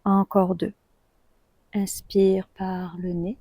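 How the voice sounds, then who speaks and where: A woman leads a guided relaxation with a soft, mellow tone. She speaks very slowly and calmly, leaving long pauses between phrases. The recording is close and dry. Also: mid-range voice, breathy edge, dry recording. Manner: calm, soothing, unhurried with long pauses. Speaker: yoga nidra guide.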